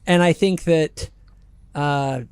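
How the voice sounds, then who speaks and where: A man's voice: fairly high pitch, slow pace, darkish tone, clean tone, no echo. A man hosting a reaction show, moving between scripted introduction and casual chat with friends. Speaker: channel host.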